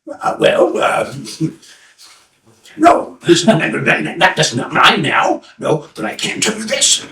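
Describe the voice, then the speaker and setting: A man voicing a sly, calm old male seer who speaks in an unhurried, knowing way. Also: a little high, gravelly, roomy sound, far from the mic, heavily acted. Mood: evasive.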